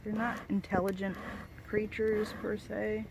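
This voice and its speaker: A woman talks her way through a beehive inspection outdoors, casually explaining what she sees. Her voice sits at an ordinary pitch and is caught on a handheld camera with loud buzzing bees around her. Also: level tone, measured pace, gravelly, noisy background. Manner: chatty, explains as she works. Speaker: beekeeper, co-host. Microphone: handheld camera mic, crinkling gloves.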